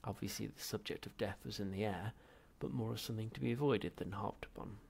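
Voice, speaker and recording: A man reading a comic science fiction novel aloud as an expressive audiobook narrator, with a gravelly, husky voice. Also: lowish voice, brisk. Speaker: audiobook reader.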